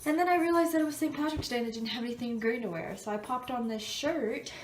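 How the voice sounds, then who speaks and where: A woman's voice: a little high, quick pace, slight background noise. Chatty congested young woman vlogging. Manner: chatty and rambling, many ums and likes. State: stuffy and congested. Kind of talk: casual talk to camera while getting ready. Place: home bathroom. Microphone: phone camera.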